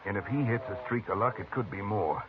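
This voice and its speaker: An actor playing a scheming, crooked frontier card dealer in a 1950s radio western, coaxing and menacing by turns. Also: male voice, lowish voice, fairly quick, natural voice. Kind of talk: 1950s radio drama acting. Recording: tinny, noisy old radio transcription.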